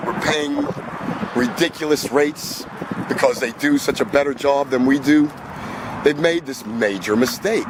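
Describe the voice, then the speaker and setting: A man in his fifties with a hoarse, cold-roughened voice, rambling casually to his camera outside by a road in the early morning. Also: on the high side, heavy background noise. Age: 50s. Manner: rambling, conversational, talks to the camera. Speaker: vlogger. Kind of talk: casual vlog monologue. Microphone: camera on a magnetic tripod.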